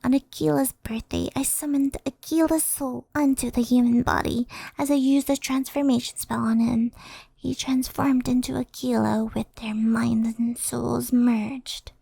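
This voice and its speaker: Girl playing an earnest yokai sorceress, asmr roleplay, higher breathy voice with lots of creak, kinda confessing. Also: flat delivery, a little slow, dark tone, no room sound, exaggerated voice. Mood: earnest confession. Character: earnest, secretive female yokai sorceress, devoted to her friend.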